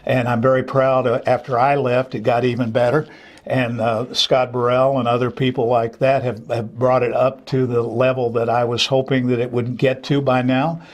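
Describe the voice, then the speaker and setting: A man's voice: mid-range voice, flat delivery, moderate pace. Older man chatting as interview guest. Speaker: interview guest, theater director and teacher. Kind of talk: unscripted interview conversation.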